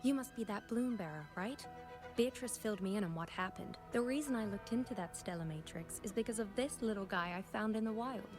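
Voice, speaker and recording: A woman's voice: medium pitch, brisk, lightly acted. A woman acting a thoughtful, inquisitive researcher. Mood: matter-of-fact. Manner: thoughtful storytelling. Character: curious, persistent female researcher recounting old mysteries. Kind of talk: video game voice acting. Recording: game dialogue over clear music.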